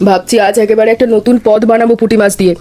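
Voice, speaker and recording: A woman's voice: mid-range voice, fairly even intonation, very fast, mellow, natural voice. A woman voicing a kindly older village mother in a cartoon, speaking affectionately and giving practical instructions. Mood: caring, practical. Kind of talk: scripted cartoon dialogue.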